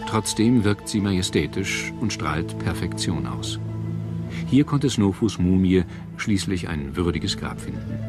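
A man reading scripted documentary narration in a measured, formal manner. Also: sits low. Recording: music bed under narration. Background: background music.

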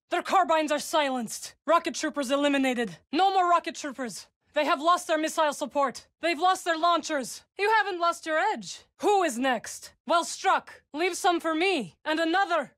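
Game role: fierce young witch warrior, very high voice, clipped commands, loyal companion in battle, dry close sound. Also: a bit bright, dry recording, heavily acted. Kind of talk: video game combat barks.

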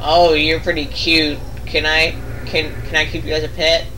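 A man's voice: fairly high pitch, monotone, moderate pace, bright, forceful tone, slight background noise, rough recording. A man playing a video game and bantering casually, with a goofy, mock-dramatic, exclaiming manner. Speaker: let's play player and co-commentator.

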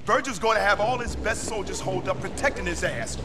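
A man's voice: high voice, fast talker, natural voice. A man playing a determined street gang warlord in a video game, commanding, streetwise and urgent. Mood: rousing. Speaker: gang leader. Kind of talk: gritty video game cutscene acting. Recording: game dialogue over music.

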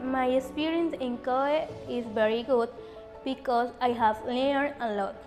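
A woman's voice: high-pitched, unhurried, over music. A woman from Ecuador giving a simple, recited student testimonial. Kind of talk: prepared testimonial.

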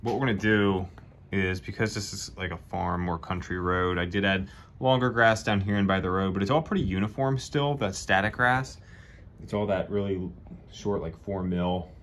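A man's voice: deep voice, moderate pace, a little hiss or hum. A man casually explaining his model railroad scenery work, thinking aloud as he goes. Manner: relaxed, thinking aloud while working. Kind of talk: casual how-to narration. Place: hobby room at a model train layout.

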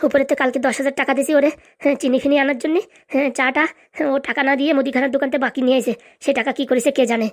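A performer voicing an indignant, boastful young man in a comedy cartoon, excitable and swaggering, with a rural Bengali accent. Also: high-pitched, rapid-fire, close to an everyday voice. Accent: Bengali, rural dialect.

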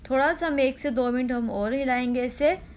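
A woman host, giving a patient step-by-step cooking demonstration at home, repeating instructions and pausing with "ah" between steps. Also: sits high, a little hiss or hum.

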